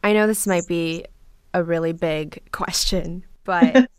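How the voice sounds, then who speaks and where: Soft-spoken woman host, reflective. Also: lowish voice, fairly even intonation, slow, smooth, no room sound, clean studio sound. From Korea. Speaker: podcast host and interviewer. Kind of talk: reflective documentary narration and phone interview.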